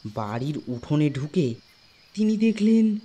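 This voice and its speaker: Casting: male storyteller for animated horror tale, somewhat high voice, unhurried dramatic narration, soft music behind. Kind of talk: scripted ghost story narration.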